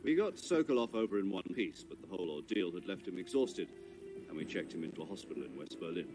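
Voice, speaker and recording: Composed intelligence commander, low pitch, measured formal delivery, video game briefing, clear music underneath. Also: male voice, animated, poor audio. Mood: grave. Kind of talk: dramatic scripted mission briefing in a video game cutscene.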